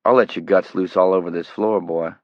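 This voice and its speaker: A man's voice: low-pitched, steady tone, fairly quick, no room sound, muffled recording, close to an everyday voice. A man playing a cruel, menacing middle-aged man who taunts and sneers. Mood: taunting menace.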